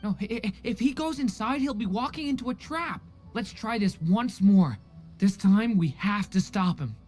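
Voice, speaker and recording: An actor playing an earnest, caring young companion in a video game, measured and concerned. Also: male voice, high voice, expressive, darkish tone, soft background music. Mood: worried resolve.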